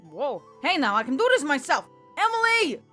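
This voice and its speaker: A woman voicing a gruff, brash female centaur in a story, with a blunt, slangy manner and a hot temper. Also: high voice, very animated, moderate pace, pushed and bright, faint music behind, voice pushed up, full character voice. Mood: alarmed.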